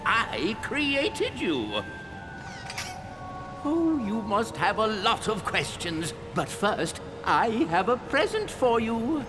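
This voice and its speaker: Slow, swooping, whimsical fatherly alien. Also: high voice, wildly swooping pitch, full character voice. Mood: fond, whimsical. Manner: theatrical, fond, drawn-out delivery. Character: kindly, whimsical old alien father, creator of a robot. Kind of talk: video game cutscene acting. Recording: echoey, distant, loud music behind.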